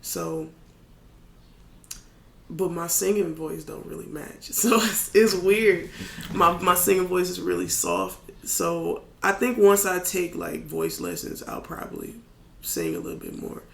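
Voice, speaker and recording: A man with a raspy voice talking casually as a podcast guest, candid and loose, with plenty of fillers. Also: high voice, very animated, a little slow, fairly bright tone, a little hiss or hum. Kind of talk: casual chat. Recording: echoey room.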